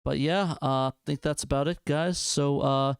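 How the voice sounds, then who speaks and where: A man's voice: fairly high pitch, steady tone, moderate pace, vocal fry, clear, resonant tone, no room sound, studio quality. A man hosting a casual group chat about games in a hesitant, jokey way. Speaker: host of a gaming video show.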